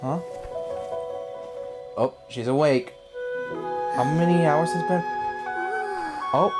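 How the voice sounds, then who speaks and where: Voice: mid-range voice, slow pace, loud music behind the voice. A video game streamer giving casual, slangy, exclamatory reaction commentary.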